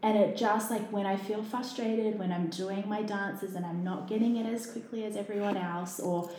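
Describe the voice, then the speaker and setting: A woman's voice: mid-range voice, steady tone, moderate pace, mellow. Chatty woman guest in an echoey room. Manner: chatty, lots of fillers and restarts. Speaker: podcast guest. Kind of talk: casual conversation.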